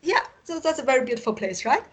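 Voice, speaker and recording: A woman's voice: high voice, big pitch swings, fast, breathy edge. A woman with a Chinese accent, a native Mandarin speaker, talking casually as an interview guest and often searching for words. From China. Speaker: guest, science fiction writer.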